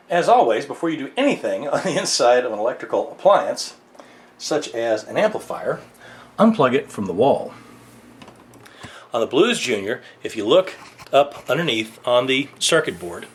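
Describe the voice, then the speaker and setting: Man giving an echoey casual gear tutorial. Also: medium pitch. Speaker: music gear instructor. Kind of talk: casual hands-on video tutorial. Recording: echoey room, faint background noise.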